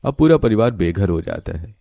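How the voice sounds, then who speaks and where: A man's voice: sits low, big pitch swings, fairly quick, vocal fry, warm tone, no echo. A man narrating a scripted village story for a cartoon.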